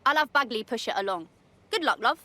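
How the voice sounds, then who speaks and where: An actress playing a blunt, worldly pub worker with a British accent in a video game, frank and familiar. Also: female voice, on the high side, animated, fairly quick, bright, forceful tone, no room sound. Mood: knowing, frank. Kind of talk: scripted video game dialogue.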